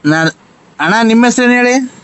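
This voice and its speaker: A man's voice: very high voice, lively intonation, brisk, fairly bright tone, clear, resonant tone, no room sound. A man with a rural Karnataka Kannada accent making a prank phone call, insistent and mock-serious, recorded over the phone. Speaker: prank caller.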